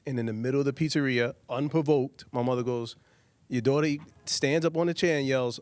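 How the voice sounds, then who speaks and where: A man's voice: medium pitch, a little fast, smooth, dry recording. A man in his thirties co-hosting a podcast, telling a story with jokes and easy laughter on a handheld mic in an office lounge. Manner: laughs easily, joking. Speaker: podcast co-host. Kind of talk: casual storytelling.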